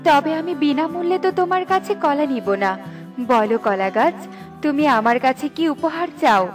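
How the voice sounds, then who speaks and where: Woman playing a gracious, high-voiced fairy. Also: expressive, normal speed, over music. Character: gracious, generous, delighted visiting fairy woman. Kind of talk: cartoon fairy-tale dialogue acting.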